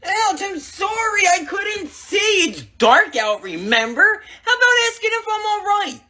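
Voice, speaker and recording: Woman acting squeaky, excitable little boy. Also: unhurried, exaggerated voice. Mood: whiny, sorry. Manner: whiny, exclamatory. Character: excitable tattling little boy, youngest brother. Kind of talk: family comedy skit acting.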